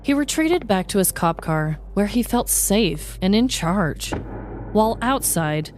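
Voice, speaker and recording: A woman's voice: medium pitch, lively intonation, a little slow, a little hiss or hum. A woman podcast host reading a scripted, comedic true-crime story as narrator.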